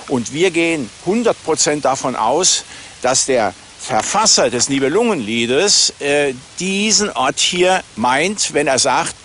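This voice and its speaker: A man's voice: a little high, a bit bright. Older man explaining outdoors, faint background noise. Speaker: treasure hunter and architect, interviewee. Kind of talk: explanatory interview talk. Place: outdoors in a meadow by trees.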